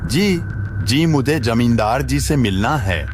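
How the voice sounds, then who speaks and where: Man acting humble, animated village farmhand. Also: medium pitch, takes it slowly. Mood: deferential. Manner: polite, deferential. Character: humble, honest, hardworking male village farmhand. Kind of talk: Hindi cartoon moral-story acting. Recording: music behind the voice.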